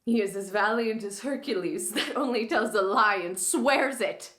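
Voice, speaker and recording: A woman's voice: mid-range voice, fairly bright tone, voice pushed up, lightly acted. Woman acting an anguished, furious Shakespearean noblewoman, echoey room. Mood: bitter scorn. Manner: impassioned, anguished theatrical delivery with drawn-out words. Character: furious, grief-stricken young noblewoman in a Shakespeare play. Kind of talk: classical stage monologue.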